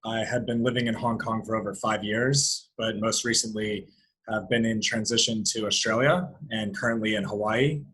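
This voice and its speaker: A man from Florida talks conversationally as an interview guest. His voice is fairly low and husky, with very little movement in pitch. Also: steady tone, moderate pace, a bit bright. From Florida, United States. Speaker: interview guest, company founder.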